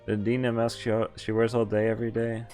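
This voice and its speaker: A man's voice: medium pitch, level tone, fairly quick, a little mellow, faint music behind. A man streaming a visual novel, reading its text aloud and dropping in casual reactions.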